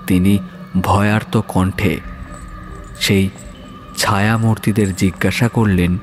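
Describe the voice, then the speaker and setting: A male narrator tells a horror story in a fairly low voice. He takes his time, very slow and measured, with dramatic pauses between phrases. Soft music runs behind him. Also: fairly even intonation.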